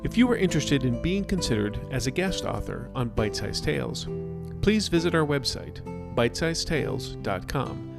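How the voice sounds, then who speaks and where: A man reads a podcast's closing announcements in a formal, scripted way. His voice has a gravelly rasp. Plucked guitar music plays clearly under him. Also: medium pitch, lively intonation, moderate pace. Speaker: podcast co-host.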